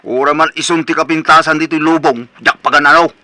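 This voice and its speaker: A man's voice: fairly high pitch, steady tone, fairly quick, sharp tone, no echo. A Filipino man playing an irritable young bachelor son in a comedic radio drama, curt and scolding. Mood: irritated.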